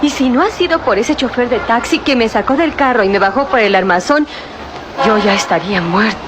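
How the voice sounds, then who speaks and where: An actress playing a frightened young heiress in a radio drama, flustered and defensive. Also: female voice, a little high, lively intonation, fairly quick, lightly acted. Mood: worried. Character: frightened young rich heiress, nervous and indignant. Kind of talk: 1960s radio drama acting. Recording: noisy old radio drama recording.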